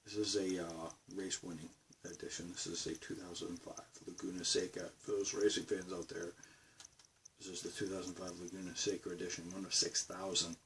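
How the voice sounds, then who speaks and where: A man's voice: low voice, level tone, brisk, a bit bright, recorded from a distance, slight background noise, poor audio. A man with a Boston accent rambling casually to his camera from his bedroom studio. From Massachusetts, USA. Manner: rambling, meandering talk. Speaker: vlogger.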